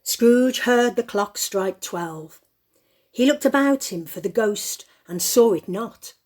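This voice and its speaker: Female narrator, husky mid-pitched voice, slow and expressive storybook delivery.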